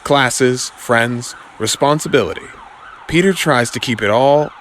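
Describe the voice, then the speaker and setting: A man's voice: mid-range voice, unhurried, fairly bright tone, a little hiss or hum. A man narrating an audio comic in a dramatic, scripted storytelling style.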